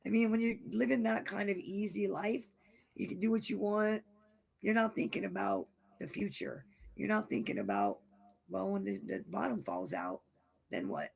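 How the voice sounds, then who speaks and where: Raspy guy on a video call, voice shot and fading, super gravelly and husky, keeps repeating himself. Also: sits high, lively intonation, normal speed. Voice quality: raspy, gravelly voice from vocal cord damage. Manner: repeats himself, frank and rambling. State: losing his voice, hoarse. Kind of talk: casual late-night chat.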